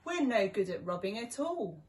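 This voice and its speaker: A woman's voice: mid-range voice, very animated, moderate pace, a little mellow, far from the mic, slight background noise, close to an everyday voice. A woman voicing a cheeky, scheming male robber dog in a theatrical storybook style. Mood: glum. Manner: exclamatory, sly, theatrical storybook delivery.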